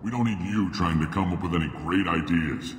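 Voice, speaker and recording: Man doing a gruff merc planner, video game, curt, cynical, deep voice, hall reverb. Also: brisk, a little hiss or hum, lightly acted. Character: gruff male mercenary planner and mechanic. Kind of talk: video game cutscene acting.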